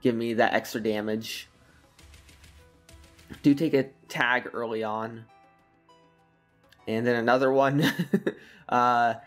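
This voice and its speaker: A slightly hoarse man giving relaxed, rambling gameplay commentary, full of fillers and soft chuckles. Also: medium pitch. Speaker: gaming commentator.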